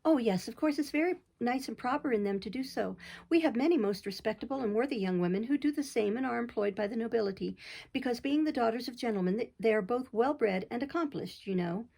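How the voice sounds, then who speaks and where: A woman playing a snobbish, patronizing young gentlewoman, gravelly-edged. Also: a little high, lively intonation, quick pace. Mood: condescending. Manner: patronizing, condescending.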